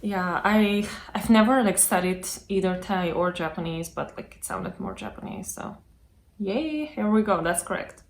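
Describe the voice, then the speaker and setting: A woman's voice: medium pitch, lively intonation, moderate pace, a little hiss or hum. A woman hosting a casual interview over a video call, chatty and filling her talk with yeah and like. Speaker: host.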